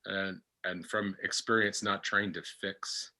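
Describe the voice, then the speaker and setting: A man with a very deep voice and a strikingly bright, forceful edge speaks slowly as a reflective guest. He is halting, repeats phrases and searches for words, and his pitch stays very even. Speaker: podcast guest. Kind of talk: reflective personal discussion.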